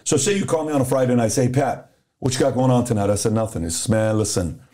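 Man from Iran, middle-aged, warm mellow tone, animated storyteller, acts out conversations. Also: mid-range voice, quick pace. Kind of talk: casual podcast storytelling.

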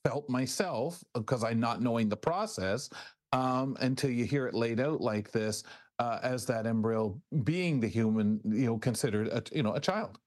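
A man with a mid-range voice hosts a news discussion. He moves between reading items and chatting, in a halting manner, reworking his phrases along the way. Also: medium pitch, a little mellow. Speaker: radio host. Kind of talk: conversational news hosting.

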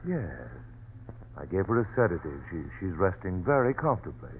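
An actor playing a steady, reassuring doctor in a vintage radio drama. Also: male voice, sits low, brisk, slight background noise. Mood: soothing. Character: calm, soothing family doctor. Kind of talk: 1940s radio acting. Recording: tinny old radio recording.

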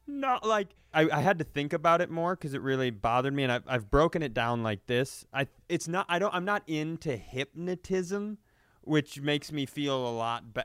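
A man telling comedic stories in casual, animated, profane banter, often acting out quotes as a comedy podcast host. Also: on the high side, clean tone, no echo, studio quality.

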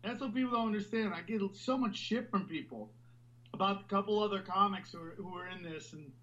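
Man guest, high voice, loud and rambling, swears a lot, dull lo-fi recording. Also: fairly quick. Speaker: podcast host and comedian guest. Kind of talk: animated interview talk.

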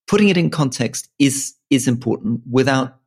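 A man who grew up in Malaysia co-hosting a casual political podcast, earnest and halting, often restarting and repeating words. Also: medium pitch, lively intonation, slightly rough. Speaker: podcast co-host.

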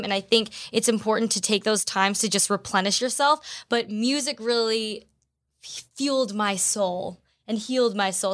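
A woman's voice: medium pitch, a bit bright. A woman co-hosting a casual chat podcast, talking in an earnest, encouraging way.

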